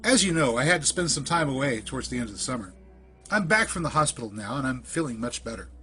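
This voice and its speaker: A man narrates an eerie first-person tale in a measured, deliberate way. His voice sits at a medium pitch and lingers on phrases, with music playing faintly underneath. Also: lively intonation, sharp tone. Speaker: narrator of a creepypasta podcast. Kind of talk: scripted first-person spooky story narration.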